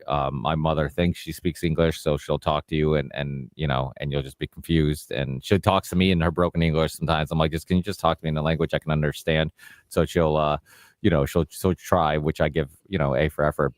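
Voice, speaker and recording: A man from northern Portugal hosts a podcast over a video call. His voice is very deep and the sound is dry and close. He chats in an easygoing way with plenty of filler words. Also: steady tone, a little fast, dry recording. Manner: easygoing, chatty, many fillers. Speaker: podcast host. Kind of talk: casual interview chat.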